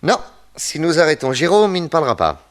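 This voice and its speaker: A man playing a shrewd, commanding master detective who explains deliberately in a 1960s radio serial. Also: a little high, very animated. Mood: confident, reasoning.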